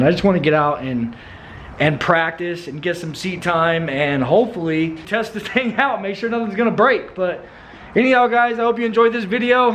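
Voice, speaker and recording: Hoarse, high-voiced guy in a car. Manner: laid-back, slangy, says bro and dude. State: hoarse, losing his voice. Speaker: car build vlogger. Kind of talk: casual vlog chat. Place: in a car, driving. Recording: dull lo-fi sound, loud road noise. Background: road and engine noise.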